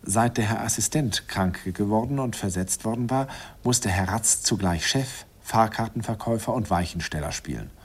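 A man's voice: fairly low pitch, moderate pace, few pauses, husky. A man playing a nostalgic narrator recalling a youthful romance in a radio play, wistful, intimate and amused. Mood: wry.

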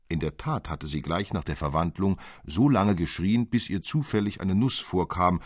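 A man reading a literary fairy tale as a narrator, in an expressive, theatrical storytelling manner. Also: low-pitched, no echo, clean studio sound. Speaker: audiobook narrator.

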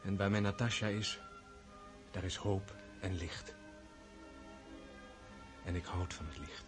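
Radio play role: a fervent, earnest prince, self-doubting and deeply in love, faint music under. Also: male voice, steady tone, slow pace. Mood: tender, fervent.

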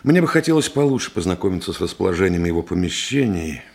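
A male actor playing a composed, thoughtful doctor turned detective in a Russian radio play, speaking in a deliberate, measured way. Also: low voice, very animated, darkish tone, slightly rough, heavily acted. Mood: composed, firm. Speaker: lead actor, also voicing his thoughts.

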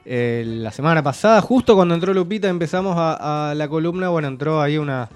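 An Argentine man hosting radio comedy from a studio microphone, his voice a little high. He is dry and hesitant, feigning doubt about his guests. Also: lively intonation, a little fast, clear, resonant tone, faint music behind. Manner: dry, hesitant, mock-suspicious. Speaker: radio host. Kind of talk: comic radio banter.

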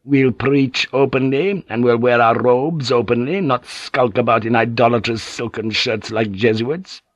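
A man reading an audiobook and voicing a hostile Spanish friar with a rasping, zealous, declamatory manner. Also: mid-range voice, a little slow, no room sound, voice pushed up, natural voice.

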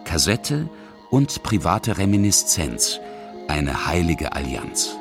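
A man's voice: sits low, very animated, takes it slowly, creaky, loud music behind the voice. A middle-aged man narrating a scripted radio feature as its author.

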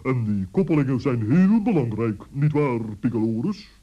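A man's voice: medium pitch, very animated, normal speed. A man playing a self-important, earnest talking bird in a children's radio play, solemn and fussy. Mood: pompous, indignant. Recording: muffled old radio play recording.

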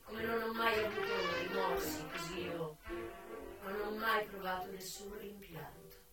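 An actress playing a frank, unrepentant brothel madam who tells her life story in an earthy, wry, deliberate theatrical monologue. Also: medium pitch, natural voice. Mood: proud, defiant. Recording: echoey, distant voice with music.

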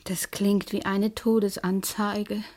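A middle-aged widow in love, in a naturalistic radio play. The voice is husky and breathy at an average female pitch. Her delivery is nervous, hesitant and girlishly eager. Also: flat delivery, slow pace, darkish tone. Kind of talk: naturalistic radio drama acting.

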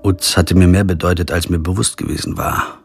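An actor playing a stubborn, principled lawyer who tells a radio crime story in measured, literary German prose style. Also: male voice, low voice, steady tone, creaky. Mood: brooding, measured. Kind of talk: first-person radio crime drama narration and dialogue. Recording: music behind the voice.

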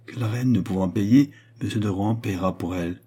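A man's voice: on the low side, a little fast, warm tone, slightly rough. A man voicing a grave, devoted nobleman in love with a queen, solemn and intense, in a dramatized historical novel. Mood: grave accusation.

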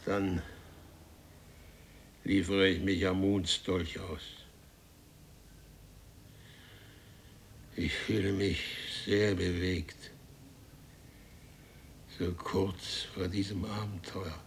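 An actor playing an imperious warrior from a future race in a radio play, with a commanding, grandiose and menacing way of speaking. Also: male voice, low voice, level tone, takes it slowly, gravelly, long pauses, a little hiss or hum, heavily acted. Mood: moved, solemn. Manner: commanding, grandiose, solemnly threatening. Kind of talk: German radio play acting.

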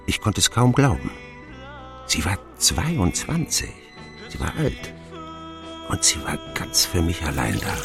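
A man's voice: deep voice, measured pace, gravelly, pauses often, over music, exaggerated voice. An actor playing a middle-aged man who wryly recalls his boyhood as narrator of a radio play. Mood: reminiscing. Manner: wry, expressive storytelling. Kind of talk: scripted first-person narration, radio play.

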